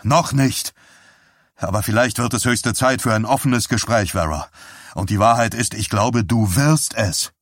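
A man reading an audiobook, playing a fed-up, angry husband who scolds and barks forcefully. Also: on the low side, unhurried, no room sound, clean studio sound. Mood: grim, firm. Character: enraged limping husband, fed up with wife's zealotry. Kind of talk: dramatised audiobook dialogue.

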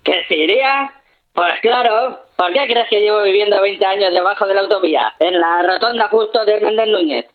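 A man's voice: high-pitched, moderate pace, natural voice. A man playing a foul-mouthed, rambling punk fan interviewed over the phone in a comic radio sketch. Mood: stubborn. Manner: swears constantly, rambling. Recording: tinny phone line.

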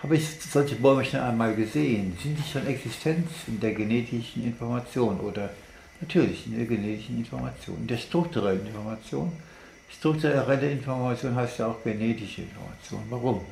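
A man explaining science off the cuff, halting and deliberate, as if thinking aloud. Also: mid-range voice, gravelly, a little mellow. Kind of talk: unscripted explanatory monologue. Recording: echoey room with background noise.

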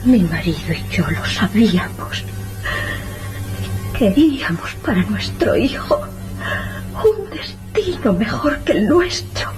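A woman playing a frail, dying widowed mother, pleading slowly and tearfully in old-style radio serial melodrama. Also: medium pitch, very animated, a little mellow, soft background music. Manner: slow, anguished, tearful pleading.

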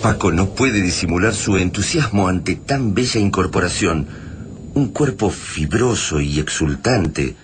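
An actor with an Argentine accent playing a solemn, literary male narrator in a sci-fi radio drama. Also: low voice. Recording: clearly noisy ambient sound bed. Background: noisy ambience.

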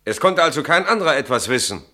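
An actor playing a brusque army captain in a German radio play, questioning curtly and with authority. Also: male voice, a little high, very animated, quick pace, pushed and bright. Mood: probing, stern. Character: brusque, commanding army captain interrogating witnesses.

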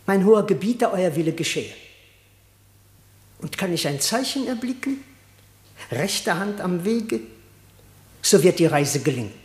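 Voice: mid-range voice, very animated, a little slow, pauses often. Brief: small clever tomcat courtier, deferential and reluctant, formal verse, classic radio fable, echoey studio. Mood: resigned, hopeful. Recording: roomy, echoing studio radio recording.

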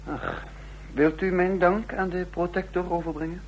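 An actor in a radio play playing a cool, wry and insolent secret agent with a self-possessed way of talking. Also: male voice, high voice, steady tone, normal speed, close to an everyday voice, rough recording. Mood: cool, businesslike. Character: cool, insolent male secret agent posing as an industrialist's son. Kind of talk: radio play acting with first-person narration. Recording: clear hum and noise, old radio recording.

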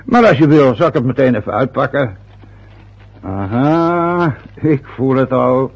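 An actor playing a jovial, teasing older father in a radio play, with a husky voice, chuckling and prying in a bantering way. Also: mid-range voice, lively intonation, measured pace, highs cut off. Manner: bantering, nosy, chuckles often.

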